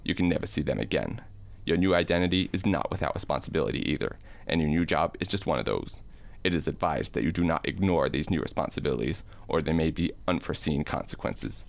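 Hardboiled private eye narrator played by a man, very low, creaky, world-weary, deadpan, for noir radio drama. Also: fast, full character voice. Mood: grave, deadpan.

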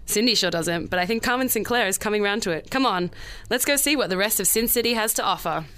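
A woman's voice: medium pitch, quick pace, a bit bright, faint music behind, close to an everyday voice. A woman playing a breezy, confident female friend in a scripted audio comedy sketch, dismissive and reassuring. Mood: playful.

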